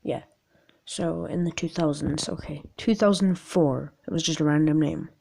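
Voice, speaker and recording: Raspy, low-pitched woman rambling casually. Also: moderate pace, mellow. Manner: self-deprecating, jokey, stumbles over reading. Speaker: amateur video maker. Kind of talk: casual rambling vlog with articles read aloud.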